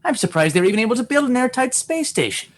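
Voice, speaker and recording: A man's voice: very high voice, sing-song, fast talker. An actor playing a fretful, frustrated male chief engineer on a space station in a comedic radio play. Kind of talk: comedic radio theater acting.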